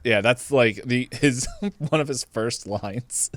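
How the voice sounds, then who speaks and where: A man's voice: medium pitch, slow pace, fairly bright tone, no echo. A man co-hosting a podcast, chatting casually about movies and retelling things in a jokey way with laughter in his speech. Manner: jokey, laughs through his own telling. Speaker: podcast co-host.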